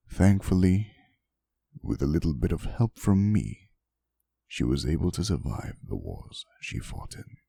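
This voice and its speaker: A man voicing a centuries-old, devoted vampire boyfriend in an intimate ASMR roleplay, with a gravelly voice and a soft, theatrical, old-fashioned way of telling his story. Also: dry recording, studio quality, exaggerated voice. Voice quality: very deep, gravelly.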